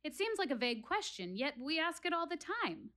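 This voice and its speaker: A woman's voice: sits high, big pitch swings, a little fast, pushed and bright, full character voice. An actress playing an earnest, wry woman philosophizing to her theater audience in a dramatic one-woman monologue. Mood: earnest, encouraging. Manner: dramatic, rhetorical, addressing listeners directly.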